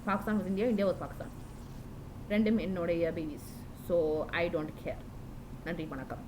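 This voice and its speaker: Low-voiced rambling woman vlogging. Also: steady tone, fairly quick, noisy background. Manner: meandering, frequent restarts and self-corrections. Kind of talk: rambling diary monologue.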